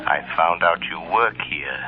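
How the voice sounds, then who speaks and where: An actor playing a mysterious invisible male avenger, speaking in a measured, solemn, hypnotic way in old-time radio drama style. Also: rumbling low. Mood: calm, commanding. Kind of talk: 1930s radio drama acting. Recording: dull old radio recording.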